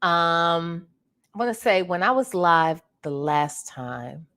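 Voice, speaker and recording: A woman hosting a casual livestream, chatting with her viewers while sounding congested and clearing her throat now and then. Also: fairly low pitch, expressive, takes it slowly, fairly bright tone. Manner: chatty, greets viewers by name. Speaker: livestream host.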